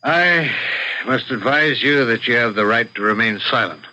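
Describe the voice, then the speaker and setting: An actor playing a seasoned, blunt police detective making an official arrest in an old-time radio drama. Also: male voice, mid-range voice, animated, moderate pace, bright, forceful tone, rough recording. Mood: firm, blunt. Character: seasoned male police detective, firm, matter-of-fact.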